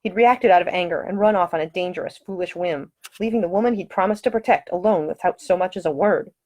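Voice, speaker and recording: A woman's voice: lowish voice, fast, darkish tone. A woman reading her own novel aloud as scripted audiobook narration.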